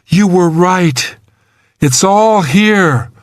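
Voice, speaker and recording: An audiobook heroine leading a rescue, performed by a male narrator. Her lines are pitched up and lively, firm, urgent and encouraging. The sound is dry. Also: very slow, dry recording, full character voice. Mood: focused. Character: steady, determined woman rescuer, reassuring under pressure. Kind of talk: audiobook character dialogue.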